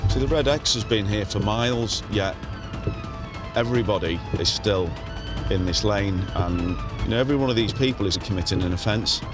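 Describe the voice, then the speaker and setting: A man's voice: lowish voice, moderate pace, over music. A man, a police inspector, commenting matter-of-factly and with wry humor while driving a patrol car on a motorway. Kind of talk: on-the-job commentary to camera.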